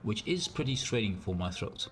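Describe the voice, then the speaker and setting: A man with a naturally loud voice and a strained, sore throat, thinking aloud in a rambling way as he streams a game. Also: on the low side, very animated, moderate pace, faint music behind. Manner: rambling, thinks aloud with fillers and self-corrections. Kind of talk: casual live-stream gameplay commentary.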